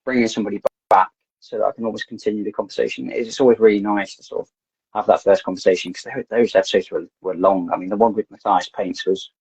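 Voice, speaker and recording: A man's voice: fairly low pitch, fairly even intonation, quick pace, darkish tone, breathy edge, dry recording. A man guest on a podcast, chatting casually and rambling, often repeating words as he gets his thoughts out. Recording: dull lo-fi dry sound.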